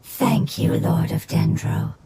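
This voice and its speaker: A woman's voice: slow, noisy background, lightly acted. A woman playing a solemn, devoted elemental spirit longing for home in a video game, speaking formally and reverently. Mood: grateful, hopeful. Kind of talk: scripted video game dialogue. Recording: loud music behind the voice.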